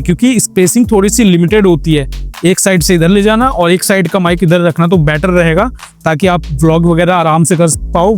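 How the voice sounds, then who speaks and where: A man speaks in a distinctly high-pitched voice over background music. He presents a hands-on tutorial in a casual vlogger style. His explanations go step by step, with English words mixed in. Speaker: motorcycle vlogger and tutorial host. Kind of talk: casual hands-on how-to narration.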